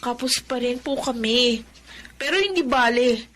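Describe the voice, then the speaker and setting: A woman's voice: fairly high pitch, unhurried, fairly bright tone, slight background noise. An actress playing an earnest, dutiful young woman graduate in a radio drama.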